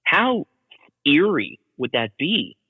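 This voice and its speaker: A man's voice: on the high side, very animated, slow, husky, dry recording, big-room sound, narrow, tinny audio. A man talking as a podcast guest and radio hobbyist, explaining things in a casual, chatty way.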